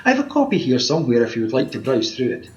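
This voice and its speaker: An actor playing a fussy, officious wish-granter with a pedantic, chatty manner in a radio play. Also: male voice, medium pitch, huge pitch swings, rapid-fire. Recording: muffled home recording, background noise.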